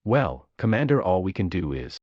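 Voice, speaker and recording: A man's voice: low-pitched, big pitch swings, moderate pace, dry recording, dull audio. A man playing a composed, commanding starship captain with terse delivery in a science fiction audio drama. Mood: composed, resolute.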